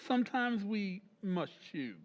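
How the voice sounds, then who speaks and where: A man plays a suave maker of lifelike marionettes, all assured salesman's patter in an old-time radio play. His pitch swings widely, and a long stage reverb trails after each line. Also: very animated, measured pace, muffled recording. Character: smooth-talking male maker of lifelike marionettes.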